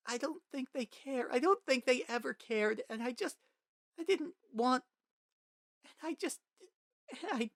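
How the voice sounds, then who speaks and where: A lost little child sobbing through broken phrases, voiced by a man. The voice sits high above the reader's own, soft and bright, with a close, dry sound. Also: no room sound, heavily acted. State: crying.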